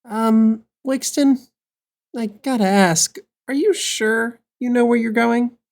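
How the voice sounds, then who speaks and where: A woman's voice: on the high side, takes it slowly, a little mellow. A woman playing a wary young-sounding male adventurer. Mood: doubtful, wry. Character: Uri, wary caring male adventurer, exasperated companion. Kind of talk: audio drama acting.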